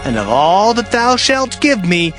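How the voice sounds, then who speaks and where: A man's voice: high-pitched, very animated, a little slow. A man playing an earnest young biblical traveler, declaiming in a dramatized scripture reading. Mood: earnest vow. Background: music.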